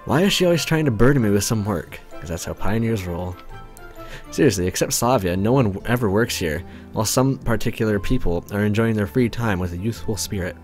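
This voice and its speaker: A man's voice: fairly low pitch, expressive, brisk, darkish tone, soft background music. A Canadian man reading a visual novel aloud, joking and commenting casually. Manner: casual, joking, self-interrupting. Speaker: let's play narrator.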